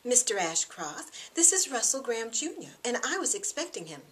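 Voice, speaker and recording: A woman's voice: fairly high pitch, big pitch swings, moderate pace, a bit bright, pitched above the performer's own voice. A woman voicing a vivacious, polite young woman in an audiobook novel. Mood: polite, lively. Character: glamorous, vivacious, polite young woman receiving her suitor.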